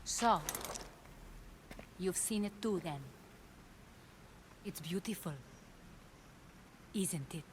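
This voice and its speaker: An actress playing a poised, ambitious female former cult leader in a video game drama, speaking in a measured, persuasive way. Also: fairly low pitch, slight background noise. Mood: composed, knowing.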